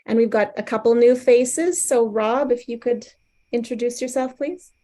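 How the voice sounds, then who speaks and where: A woman's voice: on the high side, steady tone, normal speed, warm tone. A woman casually hosting a group event and handing over to each participant. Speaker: host of a live table read.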